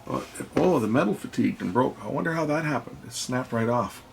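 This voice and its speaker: A man's voice: mid-range voice, expressive, a little fast, vocal fry, slight background noise. A man giving casual hands-on narration as host of an electronics repair video, half muttering to himself as he works.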